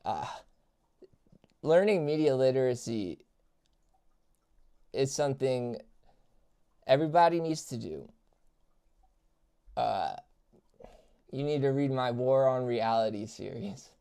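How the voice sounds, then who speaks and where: A man's voice: mid-range voice, vocal fry, mellow, clear, resonant tone. A man in the middle of his range talks through a video he is reacting to, answering his chat as he goes. He often stumbles and restarts his sentences. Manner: halting, many restarts and fillers, talking to chat. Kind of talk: casual reaction commentary.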